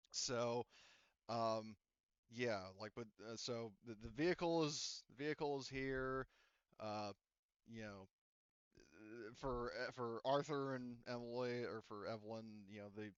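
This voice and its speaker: A man acts as game master of a tabletop game, narrating in a loose, rambling way full of fillers and restarts. His voice is smooth and resonant, recorded dry with no room sound. The audio is slightly dull and lo-fi. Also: mid-range voice, fairly bright tone, no echo.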